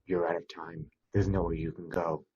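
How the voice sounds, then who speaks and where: A man voicing a gruff, menacing stranger who makes threats in a suspense story reading. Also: deep voice, flat delivery, moderate pace, a little mellow, dull audio, pitched below the performer's own voice, full character voice. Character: menacing gruff male stranger making threatening phone call.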